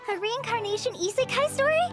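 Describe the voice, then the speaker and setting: A very high, timid young student. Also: female voice. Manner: hesitant, nervous, apologetic. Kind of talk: anime video game voice acting.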